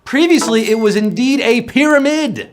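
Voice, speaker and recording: A man's voice: very high-pitched, animated. A man hosting a live trivia game show, reading questions and joking playfully between answers. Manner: playful, punning, fast-patter quiz patter. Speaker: quiz show host.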